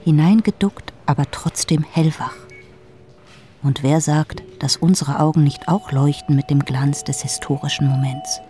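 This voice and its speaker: A woman's voice: low-pitched, fairly even intonation, measured pace, mellow. A woman voicing a defiant, proud parliamentarian in a literary audio drama monologue.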